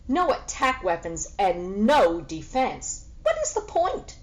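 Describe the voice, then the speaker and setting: A haughty, sarcastic she-cat from a children's story, acted out by a woman reader. She is boastful and sassy, with a very swooping, animated delivery that savours its own importance. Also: mid-range voice, slow pace. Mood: scornful, mocking. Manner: sassy, grandly refers to herself in third person.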